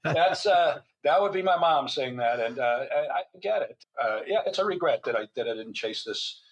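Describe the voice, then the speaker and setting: A man's voice: mid-range voice, lively intonation, normal speed. An older man speaking as an interview guest, telling anecdotes in a rambling, conversational way. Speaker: guest author and physician.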